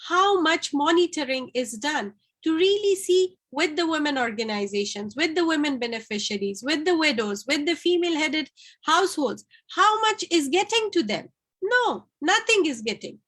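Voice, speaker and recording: A woman panelist with a high-pitched voice presses her points and asks rhetorical questions. She speaks in a firm, emphatic way. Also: fairly bright tone. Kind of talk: panel discussion.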